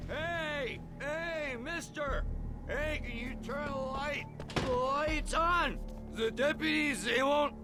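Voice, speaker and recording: High-voiced, frantic, frightened prisoner. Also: male voice, takes it slowly, music in the background, heavily acted. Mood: panicked. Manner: pleading, agitated, shouting. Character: frantic man locked up, terrified of the dark.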